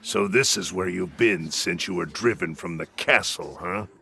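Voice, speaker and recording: An actor playing an arrogant, bullying drunken army captain in a video game, with a gravelly rasp and a sneering, swaggering delivery. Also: male voice, low voice, normal speed, sharp tone, slight background noise, full character voice. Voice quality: gravelly, raspy. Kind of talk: scripted video game voice acting.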